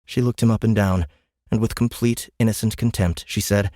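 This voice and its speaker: A man's voice: lowish voice, fairly even intonation, moderate pace, a little mellow, no room sound, studio quality. A man narrating a science fiction audiobook in a scripted, dramatic storytelling style.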